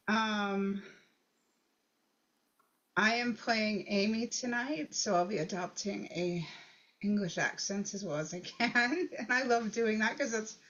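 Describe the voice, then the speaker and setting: A woman's voice: medium pitch, unhurried, long pauses, fairly bright tone. A woman giving a casual, rambling self-introduction as an actor and writer. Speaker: actor and freelance writer at a table read. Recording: dull lo-fi call audio.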